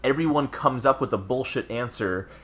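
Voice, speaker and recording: A man's voice: medium pitch, normal speed, a bit bright. A man in his twenties chatting casually and ramblingly as co-host of a gaming podcast. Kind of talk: casual chat about video games.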